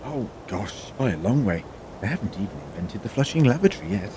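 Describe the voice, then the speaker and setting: An actor playing a learned, eloquent time traveller in an audio drama, with a theatrical, drily humorous manner. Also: male voice, medium pitch, very animated, a little fast, vocal fry, background noise. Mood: musing. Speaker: lead actor.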